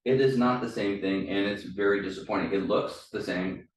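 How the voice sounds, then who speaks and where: A man's voice: lowish voice, fairly even intonation, normal speed. A man from California hosting a podcast interview in a hotel room, chatty and rambling as he talks. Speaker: podcast host. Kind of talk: casual interview. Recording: echoey room, distant mic, faint noise.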